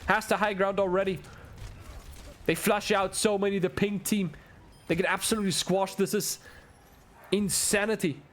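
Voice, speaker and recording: A young man with a German accent, whose first language is German, doing solo play-by-play commentary on an esports match, building up with the action. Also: high-pitched. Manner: excitable, ramps up with the action, chats with viewers. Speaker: esports caster.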